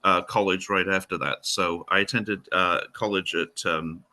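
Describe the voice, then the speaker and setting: A middle-aged Welsh man with a Welsh accent, a historian guest speaking haltingly in a casual interview, with many fillers and restarts. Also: deep voice, steady tone, sharp tone. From Wales.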